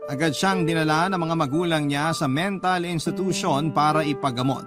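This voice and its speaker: Radio drama narrator: actor as sincere young Filipino man, fairly high, reflective first-person telling over prominent music. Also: normal speed, lightly acted. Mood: reflective, relieved. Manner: reflective, heartfelt.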